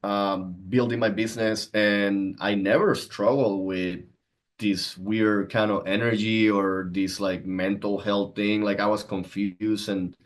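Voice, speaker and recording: A man's voice: fairly low pitch, flat delivery, measured pace. A man, explaining and telling personal stories conversationally as a podcast guest. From Venezuela. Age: 30s. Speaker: podcast guest, entrepreneur and coach.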